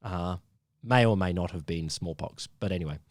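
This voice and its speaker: A man's voice: sits low, normal speed, no room sound, clean studio sound. A man co-hosting a casual science podcast, explaining a topic with frequent ums and restarts. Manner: hesitant, lots of ums and restarts, laughs easily.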